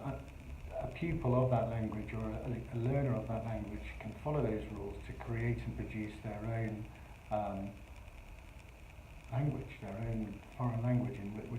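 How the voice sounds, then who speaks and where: A man's voice: medium pitch, dark tone, a little hiss or hum. A man, a Spanish teacher, earnestly explaining a revision workshop in long, rambling sentences with fillers. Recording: echoey room.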